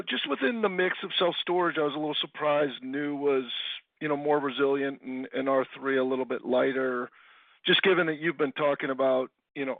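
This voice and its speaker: A man's voice: medium pitch, dry recording. A man asking casual business questions as an analyst on a conference call, rambling with filler phrases over a telephone line. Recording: dry, narrow telephone line.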